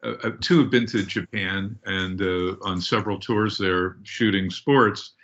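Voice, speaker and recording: A man's voice: low voice, steady tone, unhurried, a bit bright, muffled recording. A man co-hosting a podcast, asking long, rambling questions. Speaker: podcast co-host. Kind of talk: interview questions.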